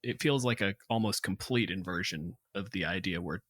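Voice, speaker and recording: A man hosting an analytical but casual discussion in a measured, articulate and critical way. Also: sits low, a bit bright, dry recording, clean studio sound.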